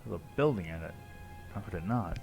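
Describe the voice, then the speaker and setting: A man's voice: fairly low pitch, lively intonation, a little fast, gravelly. A man giving casual live commentary as a game streamer, wry and sweary. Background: game music.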